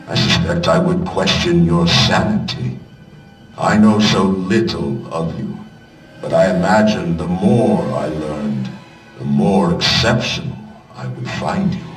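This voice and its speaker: Slow, deep, condescending gentleman industrialist. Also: animated. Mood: condescending admiration. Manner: deliberate, eloquent, formal. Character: smooth condescending businessman, coldly admiring, grandly intellectual. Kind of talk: video game dramatic dialogue. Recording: roomy, distant, loud music behind.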